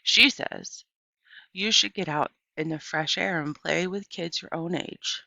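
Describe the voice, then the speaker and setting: A bratty young storybook boy, as a woman narrator reads him, taunting and tattling. The voice is bright and forceful, on a dry, slightly dull recording. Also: lowish voice, unhurried, vocal fry, no room sound, heavily acted. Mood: bossy, scolding. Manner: hollering, taunting.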